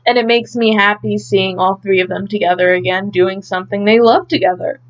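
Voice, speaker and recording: A woman's voice: medium pitch, steady tone, a little fast. A woman hosting an idol news update as a casual recap, chatty and a bit hesitant as she thinks aloud, on a slightly dull home recording.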